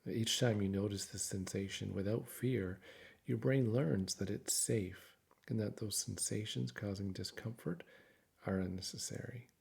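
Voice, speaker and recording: Deep, gravelly man guiding a meditation. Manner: calm, soothing, measured with frequent pauses. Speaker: guided meditation narrator. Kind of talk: guided meditation, gentle scripted narration.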